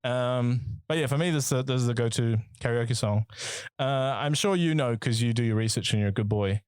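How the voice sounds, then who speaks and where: A man's voice: medium pitch, brisk, darkish tone, clear, resonant tone, no room sound. A man with a New Zealand accent co-hosting a casual music podcast, rambling and swearing with lots of fillers and restarts. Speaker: podcast co-host.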